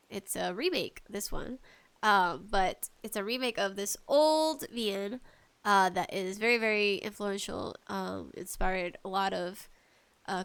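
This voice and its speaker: A woman hosting a casual solo podcast about games, talking in an animated, playfully exasperated way with emphatic delivery. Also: medium pitch, clear, resonant tone. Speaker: podcast host.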